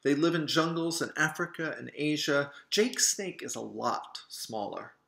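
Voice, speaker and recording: A man voicing a studious, doubtful young girl detective in a storybook, explaining things in a measured way. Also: a little high, gravelly, pushed and bright, full character voice. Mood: explanatory. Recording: roomy, echoing sound.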